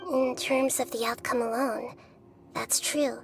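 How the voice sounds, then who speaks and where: A woman voicing a gentle, wise young goddess in a video game, speaking in a calm, measured, philosophical way. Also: fairly high pitch, over music. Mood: gentle, pensive. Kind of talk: dramatic English video game voice acting.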